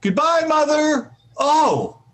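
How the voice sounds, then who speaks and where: A man playing a good-natured, oblivious husband in an old-time radio comedy, boyish and animated. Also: extremely high pitch, takes it slowly, exaggerated voice. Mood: hurried. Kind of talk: 1940s radio comedy acting.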